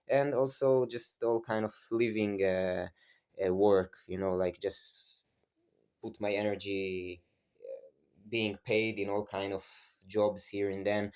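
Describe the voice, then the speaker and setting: A man's voice: low voice, a little mellow, smooth. A man in his twenties with a Hebrew accent, speaking English as a second language in a reflective personal vlog, hesitant and searching for words. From Israel. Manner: hesitant, many fillers and restarts, searching for words. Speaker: vlogger.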